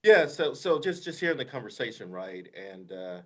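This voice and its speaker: A man with a big, mid-pitched voice giving his views to a council over a video call. He speaks emphatically, keeps checking in with "right?", and often restarts his sentences as he thinks aloud. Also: sing-song, normal speed. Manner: emphatic, repeats "right?" as a tag, many restarts. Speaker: invited expert advising the council. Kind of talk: meeting discussion.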